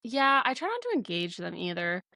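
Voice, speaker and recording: A woman's voice: mid-range voice, wildly swooping pitch, normal speed, vocal fry, sharp tone, no room sound, clean studio sound. A young woman, a flight nurse, talking candidly as a podcast guest, laughing often and using many fillers. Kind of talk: casual interview talk.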